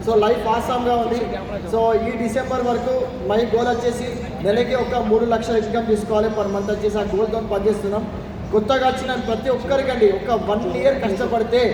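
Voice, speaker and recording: Male motivational speaker, Telangana Telugu accent, English-sprinkled delivery, far from the mic in a long-reverb hall. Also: very high voice. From Godavarikhani, Telangana, India. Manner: mixes in English, starts points with "so". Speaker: lorry owner and part-time network marketer. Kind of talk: motivational testimonial speech to an audience. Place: large echoing hall. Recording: very echoey hall, distant mic, noisy.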